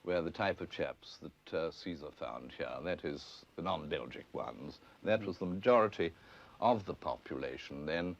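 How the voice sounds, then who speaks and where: A middle-aged English man, a scholar being interviewed, explaining in a halting, hesitant but precise manner. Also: deep voice, expressive, gravelly. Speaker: academic anthropologist being interviewed. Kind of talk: unscripted expert explanation. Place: stone church crypt. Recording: dull, band-limited archive film sound.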